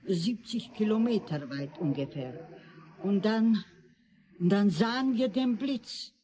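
An elderly Soviet grandmother giving a stunned eyewitness account in a radio play. She speaks very slowly and haltingly at a middle pitch, full of grief, on a noisy recording. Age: older. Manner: halting, repeating words. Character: grieving Soviet grandmother, stunned witness of an atomic blast.

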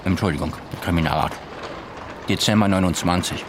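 A man's voice: deep voice, fairly quick, background noise. A man playing a careful junior police detective in a radio drama, reporting in a factual, reserved way. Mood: careful, deferential. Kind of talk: German radio drama acting.

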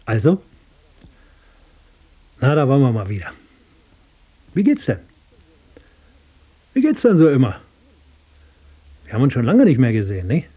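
Swooping, falsely jovial police sergeant. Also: male voice, mid-range voice, huge pitch swings, a little slow, long pauses, dark tone, breathy edge, full character voice. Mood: mock-friendly. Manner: mock-friendly, needling, repetitive questions. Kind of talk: radio play acting.

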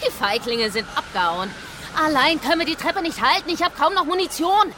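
An actress playing a defiant, embattled woman fighter, sharp-tongued and insistent, in a German radio drama. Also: high voice, animated, a little fast, noisy background. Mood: sarcastic, tense. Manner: sarcastic, insistent, tense.

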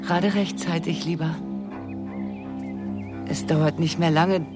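An actress playing an eerily calm, detached middle-aged wife in a radio crime drama, chatting softly and serenely. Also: female voice, sits low, slow pace. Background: music.

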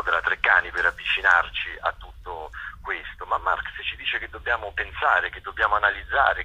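A man giving explanatory interview answers as a theatre director, hesitant and searching for words, with a husky voice. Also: sits low, pushed and bright, lo-fi sound. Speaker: theatre director and author interviewed about a play.

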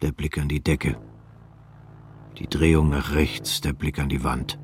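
A man narrating a radio drama in a measured, sober way. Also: extremely low pitch, steady tone, a little hiss or hum.

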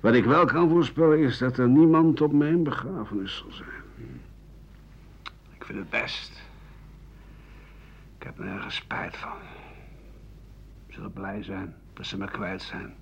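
Gravelly, embittered old French writer. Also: male voice, medium pitch. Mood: gloomy, resigned. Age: older. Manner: bitter, grumbling, halting, trailing off to murmurs. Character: embittered, weary 67-year-old French writer and doctor, pessimistic. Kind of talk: radio play monologue as interview answers. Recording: dull, hissy 1980 radio recording.

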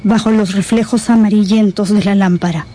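A woman's voice: mid-range voice, fairly even intonation, moderate pace, warm tone. A woman narrator telling a radio novel in a solemn, suspenseful, dramatic scripted style. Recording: dramatic music behind the voice.

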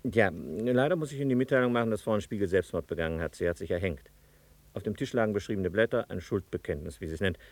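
A man's voice: lowish voice, fast talker, no room sound. A man acting a matter-of-fact police investigator in a 1950s radio drama, clipped and official. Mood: businesslike, grave.

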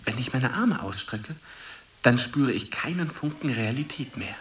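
An actor playing a dreamy, searching boyfriend, musing and puzzled in a poetic radio drama. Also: male voice, medium pitch, animated, normal speed, fairly bright tone, slightly rough. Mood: blissful.

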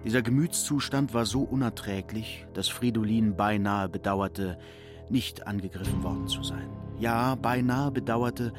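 A man's voice: on the low side, measured pace. A man narrating a literary radio drama from a script. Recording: clear music bed behind the voice.

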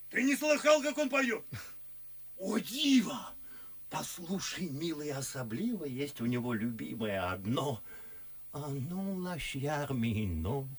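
Radio drama role: an excitable, boastful chatterbox, husky and higher-pitched, wildly animated, drawing out his words. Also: heavily acted. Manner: gushing, exclamatory, conspiratorial, drawing words out. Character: boastful, good-natured, foolish chatterbox gentleman, excitably confiding. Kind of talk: theatrical radio play verse acting.

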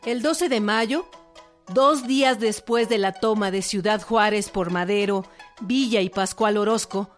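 Mexican woman narrator over clear music. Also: mid-range voice, unhurried. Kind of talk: scripted documentary drama narration. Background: music with fiddle.

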